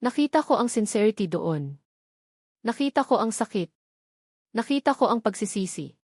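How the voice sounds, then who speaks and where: A woman's voice: on the high side, expressive, normal speed, a little mellow, slightly rough, dry recording. Dry, close-sounding woman narrating a romance. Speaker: narrator of a love story. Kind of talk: scripted first-person romance narration.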